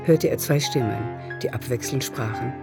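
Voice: medium pitch, normal speed, vocal fry, over music, close to an everyday voice. A performer as a composed literary narrator, reading scripted narration in a radio drama. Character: composed literary narrator of a nineteenth-century novel.

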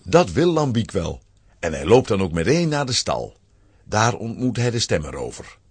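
A man narrating a scripted children's audio play as an expressive storyteller. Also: fairly low pitch, normal speed, breathy edge, no echo.

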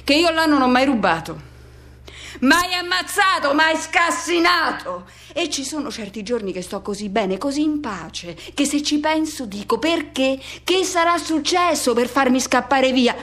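Restless loner, high-voiced radio monologue. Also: female voice, lively intonation, a little slow, a bit bright. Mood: brooding. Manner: colloquial self-questioning inner monologue, broken short phrases. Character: restless solitary middle-aged man revisiting his hometown.

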